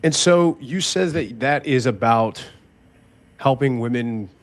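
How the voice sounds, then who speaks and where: Guy hosting a live panel show, chatty, keeps correcting himself, regular pitch. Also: expressive, takes it slowly. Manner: loose, chatty, self-correcting. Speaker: podcast host.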